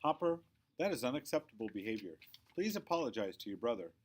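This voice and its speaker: A man's voice: mid-range voice, sing-song, fast, slight background noise, natural voice. A man voicing a stern, exasperated mother in a children's storybook, firm and admonishing with her young son.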